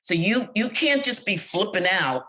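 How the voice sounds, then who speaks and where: A middle-aged American woman recounting her work experiences in an interview, speaking candidly and growing animated when she quotes others. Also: medium pitch, a little slow. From United States. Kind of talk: personal account in an interview.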